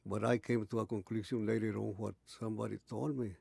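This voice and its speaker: Elderly man in his eighties, gravelly and mellow, halting storyteller. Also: lowish voice, flat delivery, dry recording. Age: 80s. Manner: halting, many restarts and pauses. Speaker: interviewee. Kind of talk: oral history recollection.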